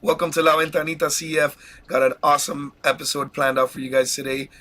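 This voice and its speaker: A man hosting a casual live podcast, talking excitedly and rambling with frequent laughs while fighting a cold. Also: on the high side, fast. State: has a cold. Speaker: podcast host.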